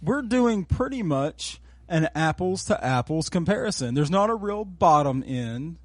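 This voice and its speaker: A man co-hosting a casual podcast, leading the conversation in an easygoing, drawn-out way. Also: on the high side, no room sound. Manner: relaxed, drawling, leads the segments. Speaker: podcast co-host. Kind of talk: casual chat.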